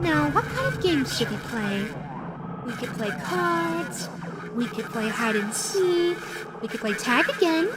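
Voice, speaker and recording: A woman voicing a playful, lonely female animatronic fox in a roleplay audio drama, chatty, coy and teasing as she talks to the listener. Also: high-pitched. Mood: playful, eager. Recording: loud steady background noise.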